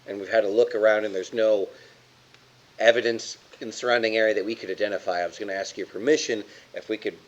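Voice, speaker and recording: A man's voice: fairly low pitch, fairly even intonation, fast, dull audio. A man playing a tabletop game, talking casually and reasoning things out aloud.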